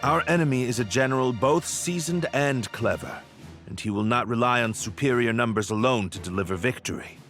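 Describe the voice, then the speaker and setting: A calculating royal strategist from a video game a man's performance speaks in a medium-pitched voice. He is formal and sober, laying out each clause with measured, articulate care. A musical score runs clearly behind him. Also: a bit bright, faint music behind, lightly acted. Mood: grave, strategic.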